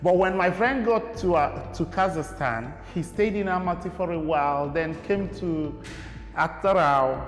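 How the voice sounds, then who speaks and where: Young Nigerian man, rambling interview guest, fairly high voice, echoey reverberant room, faint music behind. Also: measured pace. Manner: rambling, many "you know" fillers. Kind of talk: casual interview talk.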